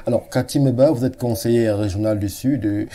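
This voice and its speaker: Man hosting a TV talk show, very dark tone, formal and wordy. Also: mid-range voice. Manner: formal, elaborate phrasing with filler pauses. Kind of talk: formal TV studio interview. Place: TV studio set.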